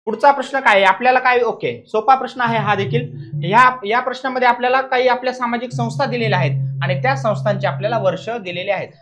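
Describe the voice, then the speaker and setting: A high-pitched man lectures as an exam coaching teacher, stressing and repeating key points and checking understanding with questions. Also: fairly even intonation, fast talker, a bit bright, faint music behind. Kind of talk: classroom lecture explaining answers.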